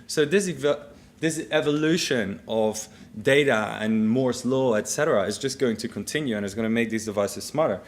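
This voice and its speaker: A man with a Dutch accent giving a conference keynote in a relaxed, conversational way while walking through a live demo. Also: medium pitch, moderate pace. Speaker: keynote speaker. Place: conference stage.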